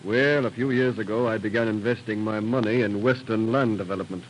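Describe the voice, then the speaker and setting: An actor, a man, playing a dignified, stoic patriot merchant in 1930s radio drama, measured and earnest. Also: on the low side, natural voice. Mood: stoic, resigned. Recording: noisy 1930s radio recording.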